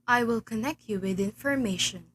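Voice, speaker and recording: A woman's voice: on the high side, moderate pace, fairly bright tone, a little hiss or hum, lightly acted. A young woman playing a polite, unmoved telephone operator in a radio play, speaking in formal set phrases. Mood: polite, impassive. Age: teenager.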